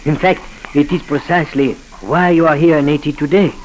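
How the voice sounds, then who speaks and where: A man's voice: fairly high pitch, fairly even intonation, fairly quick, close to an everyday voice. Man acting a suave cynical French-accented doctor, old radio. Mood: earnest, persuasive. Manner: formal, suave, punctuates speech with courteous address. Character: cynical, smooth Haitian doctor educated in Paris. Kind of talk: 1940s radio drama acting. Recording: noisy 1940s radio broadcast recording.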